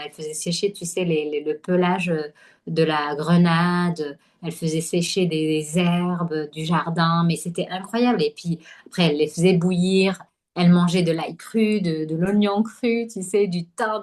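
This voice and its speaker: A woman from Algeria telling her story on a podcast in a slightly low voice. She speaks casually and hesitantly, with many fillers and restarts. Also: a little mellow. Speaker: podcast guest, holistic nutrition coach. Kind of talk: casual conversational interview.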